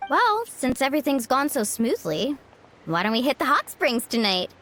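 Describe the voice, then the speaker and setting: A woman voicing an eager, excitable young girl adventurer in a video game. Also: high voice, moderate pace, soft background music. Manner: bubbly and excitable.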